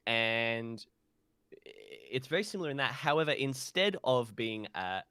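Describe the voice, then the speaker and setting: Bright, dry-sounding man explaining games. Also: mid-range voice, lively intonation, normal speed, clean tone, no room sound. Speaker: podcast co-host. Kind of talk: casual explanation of a video game.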